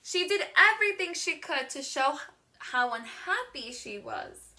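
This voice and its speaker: A woman reading a picture book aloud to young children in an expressive teacher's manner, drawing words out. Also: high voice, measured pace. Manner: expressive, asks the listener questions, gasps, draws words out.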